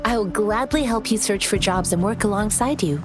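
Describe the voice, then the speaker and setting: A woman's voice: medium pitch, music in the background, lightly acted. A woman as an earnest young martial artist. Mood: calm, admonishing. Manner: formal, measured, gently admonishing. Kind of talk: video game voice acting.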